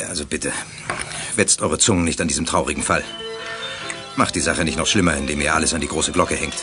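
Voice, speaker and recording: A man's voice: very deep voice, a little fast, loud music behind the voice. An actor playing a stern, commanding school principal and father in a children's audio drama. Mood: grave, admonishing. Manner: strict, commanding. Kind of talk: 1980s German children's radio play acting.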